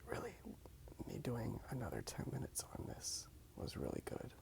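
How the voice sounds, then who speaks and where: A man speaking softly in a casual, rambling ASMR style as he draws. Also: medium pitch, wildly swooping pitch, normal speed, vocal fry. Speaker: ASMR video creator. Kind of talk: whispered ASMR rambling while drawing.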